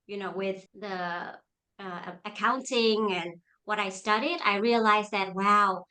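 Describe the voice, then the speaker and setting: Woman, thirties, from Denmark, medium pitch, video call guest, chatty, keeps asking "right?". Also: fairly even intonation, slow, sharp tone. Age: 30s. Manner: chatty, tags lines with "right?" and "you know". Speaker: guest, leadership coach. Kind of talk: casual interview answers.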